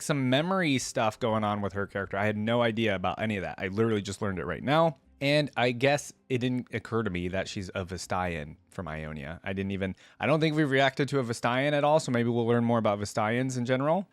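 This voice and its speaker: A man's voice: mid-range voice, dry recording. A man hosting a reaction video in a casual, conversational way, thinking aloud and reading aloud.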